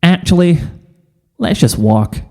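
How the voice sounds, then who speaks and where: A man plays a young country cowgirl, shy and tender, in a fan fiction story. The phrasing is folksy and Southern, the pitch lifted above the reader's own. The words come slowly, with a reverberant tail. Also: big pitch swings, mellow, exaggerated voice. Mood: happy, affectionate. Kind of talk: fan fiction audio reading.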